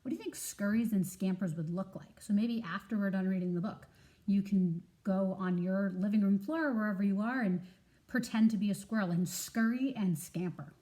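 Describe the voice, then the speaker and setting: A woman teacher reading a children's story aloud, enunciating expressively and pausing to prompt listeners with questions. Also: mid-range voice, mellow, rough recording.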